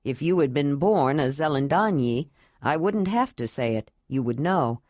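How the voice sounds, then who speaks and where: A woman voicing an earnest, loving young man in an audiobook, lowering her voice to speak calmly. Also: normal speed, no echo, studio quality. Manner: low, calm and earnest.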